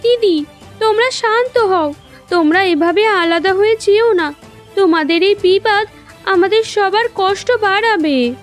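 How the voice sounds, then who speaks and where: A woman's voice: very high-pitched, a little slow, faint music behind. A woman voicing a kindly village aunt who pleads and worries in a cartoon drama. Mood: pleading.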